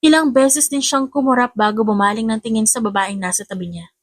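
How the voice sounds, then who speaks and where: A woman's voice: fairly high pitch, fairly quick, no echo. A woman with a Filipino accent reading a scripted romance story as an audiobook narrator.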